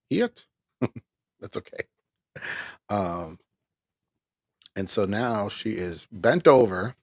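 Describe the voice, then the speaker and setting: A tired man speaks casually, rambling through a candid personal story and breaking into laughs between complaints. His voice sits fairly low with a husky grain. The sound is dry and close, with almost no room around it. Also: no echo. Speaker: online ministry host. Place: home.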